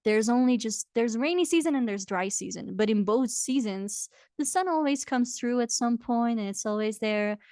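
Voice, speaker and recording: A woman's voice: fairly high pitch, expressive, moderate pace, hardly any pauses, no room sound. Guest, woman, 20s, from Belgium; laughing, chatty, dry close mic. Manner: warm, chatty, laughs often. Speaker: guest, health coach. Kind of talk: casual remote interview chat. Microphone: video call microphone.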